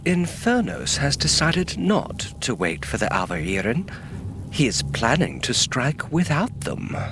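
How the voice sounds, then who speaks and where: A man playing an obsequious henchman in a fan audio drama, formal and deferential as he serves a dark lord. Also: fairly high pitch, big pitch swings, unhurried, over music. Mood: dutiful.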